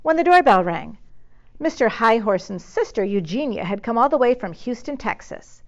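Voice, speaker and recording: A woman narrating a children's story expressively, pausing dramatically on key words. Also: a little high, sing-song, lo-fi sound. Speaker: storybook reader.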